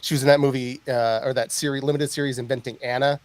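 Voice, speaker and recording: A man's voice: mid-range voice, flat delivery, quick pace. A man co-hosting a podcast in casual chat, rambling and searching for words with lots of fillers. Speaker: podcast co-host.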